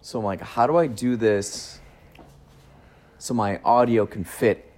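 A man's voice: on the low side, measured pace, darkish tone. A man hosting a casual chat, rambling along in short bursts, recorded on a phone in a garage. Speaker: podcast host. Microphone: phone used as recorder.

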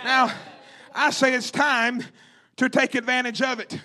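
A man's voice: extremely high pitch, little pitch movement, unhurried, pushed and bright. A man preaching a fervent revivalist sermon, emphatic and repetitive, calling out to his congregation in rhythmic exhortation. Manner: calls out to the congregation.

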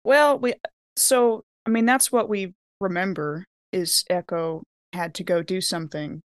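A woman's voice: lowish voice, measured pace, no echo, studio quality. Casual woman playing a tabletop game. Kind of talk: casual in-character banter.

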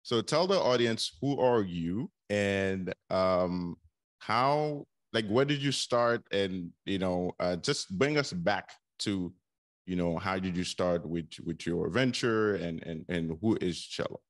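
A man's voice: low voice, expressive, slow. A man co-hosting a podcast, laid-back and chuckling as he talks. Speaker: podcast co-host. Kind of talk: podcast interview.

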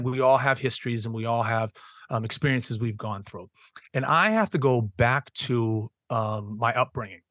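Man, middle-aged, earnest, halting, mid pitch, dry recording. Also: fairly bright tone, no room sound. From Boston, USA. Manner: earnest, halting, frequent restarts and ums. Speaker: public school teacher, podcast guest. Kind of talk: conversational storytelling interview.

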